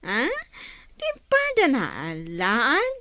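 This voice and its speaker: A woman acting a defiant, taunting young wife in a family quarrel drama, with mocking, insolent, drawn-out questions. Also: fairly high pitch, wildly swooping pitch, bright, forceful tone, no echo, heavily acted.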